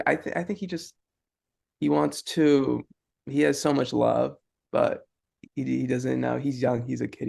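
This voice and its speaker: A young man, an actor, thinking aloud haltingly in an interview and repeating his words. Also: mid-range voice, vocal fry, darkish tone, breathy edge. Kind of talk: casual interview chat.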